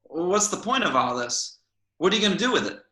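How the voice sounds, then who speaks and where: A man's voice: high-pitched, very animated, a little fast, bright, forceful tone, a little hiss or hum, full character voice. A man reading as a skeptical, dry-witted teenage boy.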